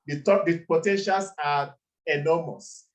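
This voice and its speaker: A man's voice: on the high side, measured pace. A man from Nigeria, founder of a campaign, giving an earnest closing speech on a video call with some hesitations. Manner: earnest, grateful, hesitant with fillers.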